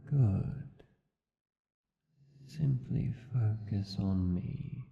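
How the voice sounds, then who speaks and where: An Australian man playing a gentle, affectionate vampire husband in an ASMR roleplay, coaxing and hypnotically soothing in a measured, commanding way. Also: on the low side, gravelly, exaggerated voice. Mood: soothing, hypnotic. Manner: soothing, coaxing, measured, sometimes dropping to a whisper. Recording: muffled, roomy sound with long reverb.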